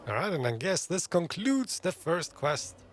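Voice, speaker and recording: A man's voice: a little high, very animated, a little slow, a little hiss or hum. A man giving casual playthrough commentary as a gaming streamer, chatting informally. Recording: loud game sound behind the voice.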